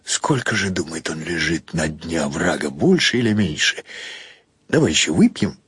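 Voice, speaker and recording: A man narrating literary prose expressively and without hurry, with many pauses and shifting emphasis. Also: low-pitched, very animated, a bit bright, breathy edge, no room sound. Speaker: narrator reading prose for a radio audio play.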